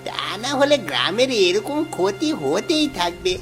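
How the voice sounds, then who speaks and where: A woman's voice: medium pitch, sing-song, measured pace, over music. A woman playing an uneasy, emphatic villager in a cartoon fairy tale. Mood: resolved, accusing. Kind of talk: animated fairy tale character acting.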